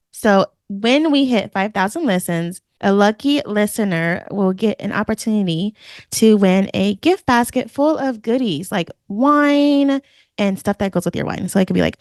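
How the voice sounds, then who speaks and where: A woman co-hosting a casual podcast, chatty and relaxed as she announces a giveaway. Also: medium pitch, clear, resonant tone, no echo, clean studio sound. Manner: chatty, says "y'all". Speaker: podcast co-host.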